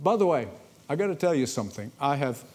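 A man from Egypt, preaching a sermon to a congregation in lively storytelling, often repeating words as he starts a sentence. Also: fairly high pitch, quick pace. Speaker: pastor. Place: church before a congregation.

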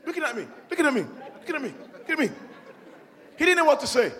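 A man's voice: very high voice, rapid-fire, some chatter behind. A man with a Nigerian accent, preaching in a church and telling funny stories to the congregation. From Nigeria (born in London). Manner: humorous storytelling, animated, working the congregation. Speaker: pastor. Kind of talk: sermon. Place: church hall.

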